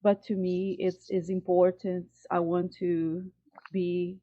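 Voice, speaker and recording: A woman's voice: fairly low pitch, little pitch movement, slow pace, mellow. Woman over a tinny call line. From Brazil. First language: Portuguese. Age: 40s. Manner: hesitant, many fillers and restarts. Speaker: workshop participant. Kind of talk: personal sharing in group call. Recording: tinny phone-like call audio.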